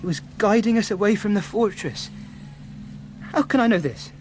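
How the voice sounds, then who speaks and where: A man acts a youthful stable boy in a radio play, his voice fairly high over faint noise. He is earnest and troubled, pressing his questions insistently. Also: normal speed, darkish tone, lightly acted. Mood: troubled, searching. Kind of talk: radio drama acting.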